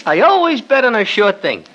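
An actor playing a brash, gloating gambler in a 1940s radio drama, loud and cocky. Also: male voice, high voice, full character voice.

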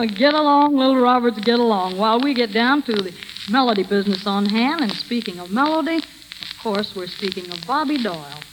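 A high-voiced American woman hosts an old-time radio variety show. Her patter is scripted, polished and playful in the 1940s manner. The sound is that of a vintage broadcast. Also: lively intonation, moderate pace, background noise.

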